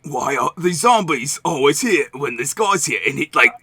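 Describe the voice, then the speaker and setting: A man's voice: a little high, animated, moderate pace, bright, forceful tone, slightly rough, no room sound, exaggerated voice. A man playing a shady, cheeky video-game arms merchant in improvised comedy, rambling and sarcastic. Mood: sly, conspiratorial. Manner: rambling, sarcastic, repeats himself. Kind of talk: improvised in-character comedy interview.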